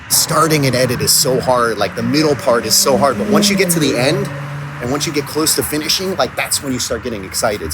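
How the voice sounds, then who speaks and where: A man speaking casually in a self-recorded video diary on location, thinking aloud in a halting way with repeated words. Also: mid-range voice. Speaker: audio drama writer and producer. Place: coffee shops and outdoors, on location. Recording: noisy on-location recording with ambient sound.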